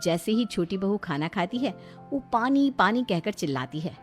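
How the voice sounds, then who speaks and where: A woman's voice: mid-range voice, big pitch swings, moderate pace, faint music behind. A woman storyteller reading a scripted moral tale as narration.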